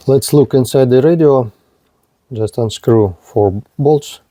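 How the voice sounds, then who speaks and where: A man demonstrates a product in a soft-edged, mellow voice. He speaks very slowly, in short and halting phrases. His delivery is low-key and matter-of-fact, like a practical hands-on review. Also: a little hiss or hum.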